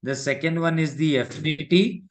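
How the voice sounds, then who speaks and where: A man with an Indian English accent explains software step by step as an instructor in a live online lesson, often adding check-in tags. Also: a little high, fairly even intonation, normal speed. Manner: repetitive check-in tags like "right" and "okay". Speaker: digital marketing instructor.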